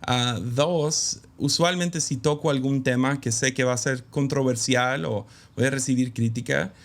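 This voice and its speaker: Candid, hesitant man, mid pitch. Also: steady tone, measured pace. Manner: reflective, candid, many hesitant fillers. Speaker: guest, pastor's son and preacher. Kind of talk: conversational interview.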